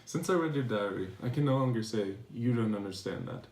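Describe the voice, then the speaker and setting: A man playing a devoted philosopher reading his tender love letters aloud in a measured, earnest dramatization. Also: medium pitch, animated, a little mellow, lightly acted. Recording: echoey room, distant mic, faint noise.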